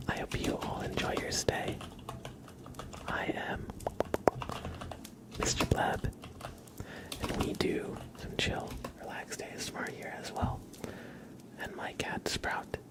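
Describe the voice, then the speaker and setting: A man hosting an ASMR livestream, speaking gently and unhurriedly in a breathy whisper with some gravel in it. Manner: soft-spoken, gentle, unhurried. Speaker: ASMR live streamer and host.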